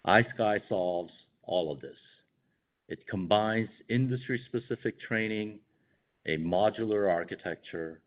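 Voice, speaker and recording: Male executive, low-pitched, formal and measured scripted investor-call read, slightly muffled band-limited audio. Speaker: company executive. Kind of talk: scripted earnings call remarks.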